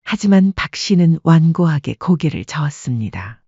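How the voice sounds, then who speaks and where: A woman narrating a scripted audiobook story in a calm, even manner. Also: low voice, normal speed, breathy edge, no room sound, lo-fi sound.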